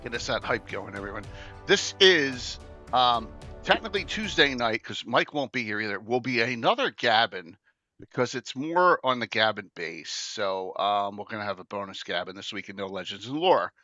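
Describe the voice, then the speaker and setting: Man, late fifties, podcast host, bright and forceful tone, very animated pitch, excitable rambling. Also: moderate pace, no room sound, muffled recording, soft background music. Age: 50s. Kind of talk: casual chat.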